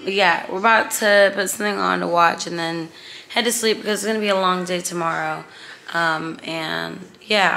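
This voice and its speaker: A woman's voice: lowish voice, vocal fry, pushed and bright, a little hiss or hum. A woman in her twenties from Dallas, vlogging casually to a handheld camera and chatting with her viewers. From Dallas, Texas, USA. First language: Nigerian family, raised in Dallas. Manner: chatty, upbeat, addresses viewers as y'all. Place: airport terminal indoors, then apartment living room. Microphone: handheld camera at arm's length.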